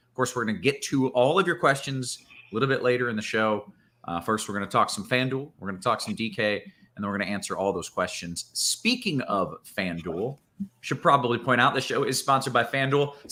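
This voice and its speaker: A man's voice: mid-range voice, sharp tone. A man hosting a sports betting show with upbeat, hype-style delivery. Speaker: show host.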